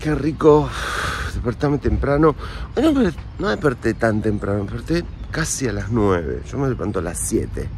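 A man's voice: mid-range voice, very animated, normal speed, darkish tone. A man in his forties with an Argentine accent, talking casually and confidingly to his viewers while walking the city streets, filmed on a phone held at arm's length. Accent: Argentine Spanish. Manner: chatty, confiding, talks straight to the viewers. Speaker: vlogger. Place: outdoors on city streets and in a park, then at a café. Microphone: phone camera held at arm's length. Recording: phone audio with clear street noise. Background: street and traffic noise.

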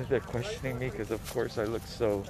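A man's voice: medium pitch, steady tone, moderate pace. Chatty man at a flea market. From Guam. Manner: chatty, self-amused, addresses viewers as peeps. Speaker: vlogger. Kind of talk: casual walk-and-talk vlog. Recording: outdoor market with loud music. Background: music playing.

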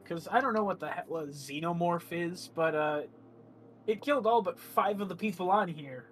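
A man's voice: sits high, normal speed, fairly bright tone, a little hiss or hum. A man talking casually and bluntly as a player discussing moves in an online tabletop game.

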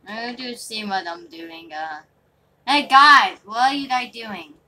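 High, bright young-sounding female gamer. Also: lively intonation, measured pace. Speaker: gamer hosting a roleplay video. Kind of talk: casual improvised game roleplay chat. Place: small bedroom, indoors. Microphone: phone filming the TV screen. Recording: noisy room recording, faint clicks.

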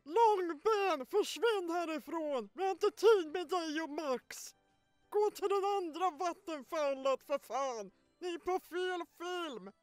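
A very high, exasperated cartoon woman acted out by a woman, foul-mouthed and melodramatic. The delivery is over the top, close and dry, with music behind it. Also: lively intonation, dry recording. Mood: scolding. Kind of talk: over-the-top fan dub acting with bloopers.

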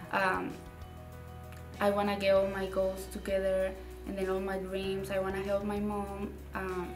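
A young woman from Mexico, a native Spanish speaker with a Mexican Spanish accent, answering interview questions in a halting way, repeating words and using many fillers. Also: mid-range voice, roomy sound, far from the mic. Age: young adult. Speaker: graduating student. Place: indoor studio with dark curtain.

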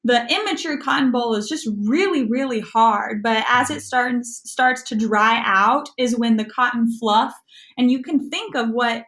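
Young American female, slightly high voice, casual craft presenter for a youth lesson. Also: a bit bright. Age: young adult. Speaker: youth extension agent, activity presenter. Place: small room at home, indoors.

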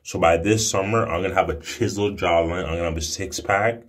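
A man's voice: deep voice, a little fast. A man in his twenties talking casually to camera in a brash, emphatic, slangy way with heavy swearing. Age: 20s. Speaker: video blogger. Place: small room indoors. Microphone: phone at arm's length.

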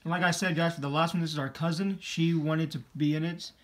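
Young male, sneaker vlog host, upbeat, rambling, slightly high voice, indoor camera mic. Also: fairly even intonation, fast, a bit bright. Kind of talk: casual vlog show-and-tell. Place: indoor room, camera on the floor.

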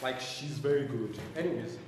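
A young man with a Nigerian accent chatting loosely in a casual home vlog, excitable and trailing off with ums. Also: medium pitch, moderate pace, very noisy. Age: young adult. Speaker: vlogger. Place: small bare room at home, indoors. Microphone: lapel mic. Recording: echoey room with music underneath.

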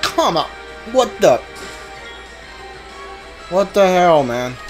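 A man gives casual commentary while gaming. He goes slowly in short, muttered phrases, yet his pitch moves around a lot. Game music plays clearly behind him. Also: sing-song. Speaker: video game streamer.

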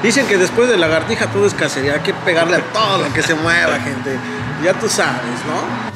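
Mexican dude in his 30s vlogging, jokey, swears a lot, pretty high voice, noisy cafe in the back. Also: animated, a little fast. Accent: Mexican Spanish. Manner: joking, swears a lot, laughs often. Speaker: vlogger host. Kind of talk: casual slangy chat. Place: indoor café at night.

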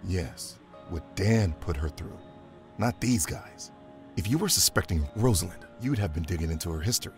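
A man's voice: low voice, animated, moderate pace. A man acting a world-weary, dry-witted police detective in an audio drama, loyal and sardonic. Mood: protective. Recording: prominent music under the dialogue.

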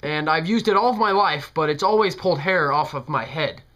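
Young American man, bright voice, politely hesitant. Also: a little high, a little fast. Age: young adult. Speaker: prank caller. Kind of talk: prank phone call, posing as an older customer. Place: small bedroom, indoors. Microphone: camera at close range, talking into a speakerphone held in hand.

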